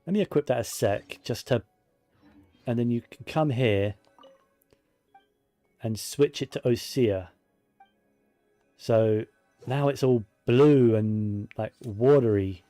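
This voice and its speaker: Man, dark relaxed voice, casual gameplay commentary, thinking aloud, faint music behind. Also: mid-range voice, slow, pauses often, no echo. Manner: musing, thinking aloud to himself. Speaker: video game streamer.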